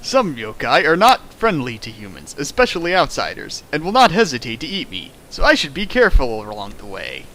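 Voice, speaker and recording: A man's voice: mid-range voice, huge pitch swings, a little fast, few pauses, sharp tone, a little hiss or hum, low-quality recording. A man reading a fan fiction aloud for comedy, breaking off for sarcastic, mocking commentary on the writing. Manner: sarcastic, mocking asides, laughs at the text. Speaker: reader riffing a fan fiction.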